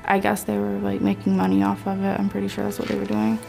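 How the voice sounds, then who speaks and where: Young woman with heavy vocal fry. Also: medium pitch, monotone. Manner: halting, soft-spoken, many fillers. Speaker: interviewee in a testimony video. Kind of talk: recorded personal testimony. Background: soft background music.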